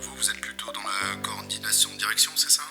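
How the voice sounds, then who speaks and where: An actor playing a busy, laid-back company manager on the phone, answering casually and with hesitation. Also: male voice, on the low side, monotone, fast, over music, lightly acted. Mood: noncommittal. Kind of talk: acted phone-call sketch.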